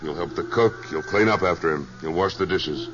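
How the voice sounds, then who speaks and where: Deep-voiced, laconic cowboy trail boss played by an actor. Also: male voice, brisk, natural voice. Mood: dry, guarded. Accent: American. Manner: laconic, dry, commanding. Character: tough, guarded cowboy trail boss, laconic war veteran. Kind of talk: 1950s radio western acting and narration. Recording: muffled vintage radio, loud music bed.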